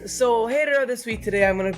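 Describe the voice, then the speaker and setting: A man's voice: very high-pitched, fast talker, faint music behind. A man casually presenting a segment on a podcast. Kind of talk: casual chat.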